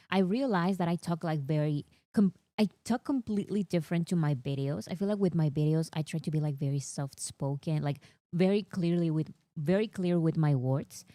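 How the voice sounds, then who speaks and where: A young woman hosting a casual chat with a strong non-native English accent, talking in an excitable, slightly whiny way. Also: low voice, no room sound. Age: young adult. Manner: excitable, whiny, talks fast about fandom news. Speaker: host.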